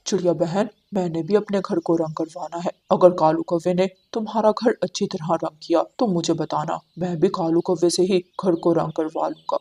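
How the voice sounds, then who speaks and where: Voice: mid-range voice, steady tone, normal speed, hardly any pauses, warm tone, slightly rough. An actor voicing a friendly male pigeon neighbour in a children's cartoon, speaking straightforwardly. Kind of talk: Hindi children's cartoon dialogue.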